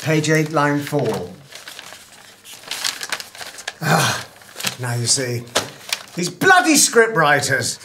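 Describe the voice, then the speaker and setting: A man's voice: a little high, sing-song, takes it slowly, background noise. A man playing a harried middle-aged radio producer, flippant, sarcastic and evasive. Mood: placating. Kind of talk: naturalistic screen comedy-drama acting. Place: small office.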